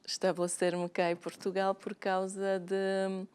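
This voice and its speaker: A woman lawyer with a Slovak accent, a Slovak native speaker, answering interview questions in a measured, hesitant way with frequent fillers and restarts. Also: medium pitch, completely flat delivery, a little mellow, smooth. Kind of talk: interview conversation.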